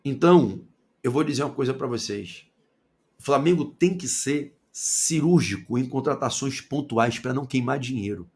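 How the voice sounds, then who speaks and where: A man from Salvador with a Bahian accent giving an opinionated football commentary monologue, firing rhetorical questions and swinging between loud and low. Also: moderate pace. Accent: Bahian Portuguese. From Salvador, Bahia, Brazil.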